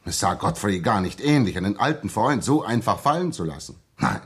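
An actor in a 1960s German radio drama playing an earnest young former soldier, polite but insistent. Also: male voice, lowish voice, sing-song, brisk, breathy edge, heavily acted. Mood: earnest narrating. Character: earnest, persistent young ex-soldier seeking his friend.

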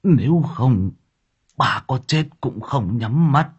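A man's voice: mid-range voice, lively intonation, slow, slightly rough, voice pushed up, full character voice. A man voicing a frail, dying old grandfather, murmuring faintly and breathily, on a dull lo-fi recording. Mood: weak. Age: older.

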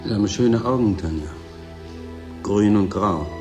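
A man's voice: on the low side, a little slow, music in the background, close to an everyday voice. An actor playing a laconic male newcomer at a remote station in a German radio drama, musing and understated. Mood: tender.

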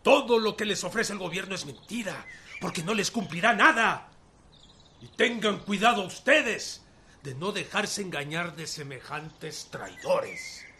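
An actor playing a defiant Mexican peasant rebel leader in a radio drama, firm and declamatory, with a Mexican accent. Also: male voice, high-pitched, bright, forceful tone, exaggerated voice. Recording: faint outdoor noise with birdsong. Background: birdsong.

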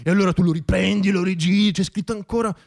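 Male storyteller, fairly high voice, wry and theatrical radio monologue with deliberate pauses. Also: steady tone, gravelly, a little mellow.

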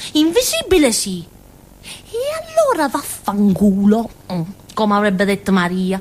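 An actress playing a naive, stubborn village simpleton girl in a theatrical monologue, with a Calabrian southern Italian accent and a childlike, colloquial, rambling way of talking. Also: fairly high pitch. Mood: hurt, indignant. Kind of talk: theatrical monologue, radio drama storytelling.

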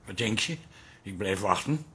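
A man's voice: lowish voice, expressive, moderate pace, bright, forceful tone, a little hiss or hum. An actor playing an anxious, fussy middle-aged man, agitated and insistent, in a radio drama. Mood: subdued.

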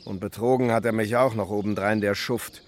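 A man's voice: lowish voice, fairly even intonation, fairly quick, close to an everyday voice. An actor playing a gossipy, grumbling, easily astonished working man in a radio drama. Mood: chatty, grumbling. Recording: faint outdoor birdsong noise.

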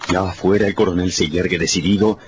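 A man's voice: low-pitched, fairly even intonation, quick pace, background noise. A man narrating a scripted story for radio in a dramatic storytelling style. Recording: dull sound with music behind.

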